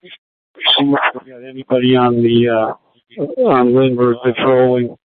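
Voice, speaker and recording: A man's voice: medium pitch, monotone, measured pace, no echo. A man speaking in terse operational style as a police command operator over a narrowband two-way radio.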